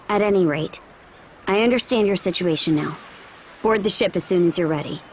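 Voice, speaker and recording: An actress playing a firm, decisive young ship captain in a video game cutscene, with a frank, commanding manner. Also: female voice, on the low side, unhurried, pauses often, slight background noise, natural voice. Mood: frank, stern.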